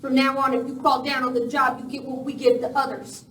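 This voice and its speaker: Actress as tough female Western gang boss, high voice, curt and threatening, live radio play in an echoey room. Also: little pitch movement, brisk, fairly bright tone. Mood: stern, commanding. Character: tough, ruthless young woman boss of Western outlaws. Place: live performance in a village venue. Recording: echoey hall, distant mic, faint noise.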